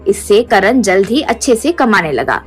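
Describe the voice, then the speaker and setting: Cartoon storybook narrator, woman, steady delivery, light music bed. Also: mid-range voice, moderate pace.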